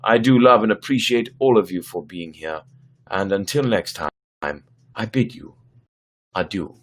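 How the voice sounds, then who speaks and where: A man's voice: lowish voice, unhurried. A man chatting casually as host of a live story reading, playful and theatrical in his delivery, poking fun at his own writing. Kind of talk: casual livestream chat.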